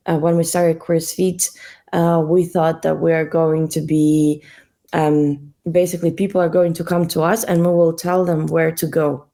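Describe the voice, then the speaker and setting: A woman's voice: low voice, vocal fry, warm tone. A young adult woman from Russia, a guest answering interview questions hesitantly, with many fillers and restarts. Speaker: guest, NGO co-founder.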